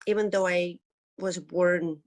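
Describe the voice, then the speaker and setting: A woman from Ukraine speaking English as a guest on a video call, earnest and pausing often to search for words. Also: on the low side, fairly even intonation. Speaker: interview guest, concert organizer. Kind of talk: remote news interview.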